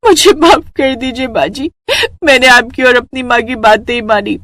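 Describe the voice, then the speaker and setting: A woman's voice: on the high side, normal speed, no room sound, clean studio sound, close to an everyday voice. A woman voicing a stubborn, insistent young bride in a cartoon story. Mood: remorseful. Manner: emphatic, insistent.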